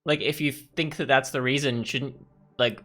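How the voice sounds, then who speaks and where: A man's voice: medium pitch, fairly even intonation, normal speed, pushed and bright. A man giving casual gaming commentary, thinking aloud and reading game text as he goes. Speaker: gaming YouTuber.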